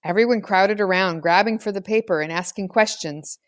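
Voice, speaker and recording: A woman's voice: medium pitch, moderate pace, no echo, studio quality. A woman reading a children's novel aloud as scripted storybook narration. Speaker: audiobook reader.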